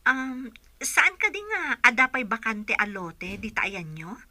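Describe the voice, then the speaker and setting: Very bright, eager woman played by an actress. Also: a little high, very animated, unhurried, no room sound, full character voice. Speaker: actor in an audio drama.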